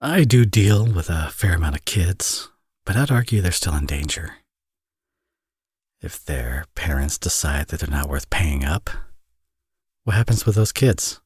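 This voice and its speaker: A man playing a teasing villain who is a tender father, in an intimate ASMR audio roleplay, with a husky, breathy, soft-spoken delivery. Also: sits low, expressive, measured pace, pauses often, no echo, clean studio sound, heavily acted. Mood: serious, protective.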